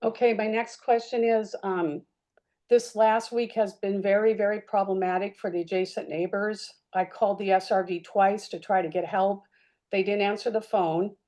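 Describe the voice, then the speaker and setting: A woman's voice: mid-range voice, fairly even intonation, normal speed, mellow. A woman neighbor pressing officials with pointed questions at a community meeting. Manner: insistent, pressing.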